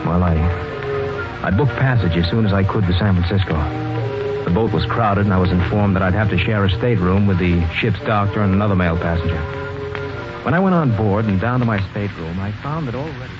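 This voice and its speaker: A man's voice: fairly low pitch, fairly quick, lightly acted. An actor playing an earnest, wronged young man who narrates his tale dramatically in a 1940s radio mystery. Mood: bitter narration. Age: young adult. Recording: muffled 1940s radio recording with music.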